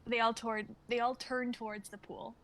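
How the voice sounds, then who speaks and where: A young woman reads out script directions as part of a dramatic reading. Her voice sits a little higher than most women's, and she narrates the scenes as a performer would. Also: flat delivery, normal speed, fairly bright tone. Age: young adult. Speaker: reader of stage directions and actor in a script reading.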